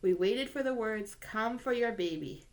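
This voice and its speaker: A woman voicing a loving older grandfather in a storybook, speaking softly as he tells a family story. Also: on the high side, unhurried. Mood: tender.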